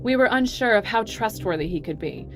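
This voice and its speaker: A woman's voice: mid-range voice, very animated, fairly quick. Commanding, condescending businesswoman, over music. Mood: assured, condescending. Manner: smooth, condescending, authoritative. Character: cool, calculating, commanding businesswoman, pregnant corporate boss. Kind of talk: audio drama acting.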